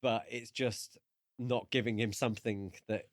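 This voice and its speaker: A man's voice: medium pitch, fairly even intonation, measured pace, dry recording, clean studio sound. A man co-hosting a podcast, talking casually and thoughtfully about a book. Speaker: podcast co-host.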